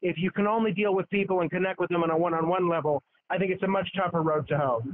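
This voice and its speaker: A man's voice: high-pitched, fairly even intonation. Wisecracking man on a tinny phone line. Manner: wisecracking, quick. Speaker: radio host calling in as guest. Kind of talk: phone-in interview.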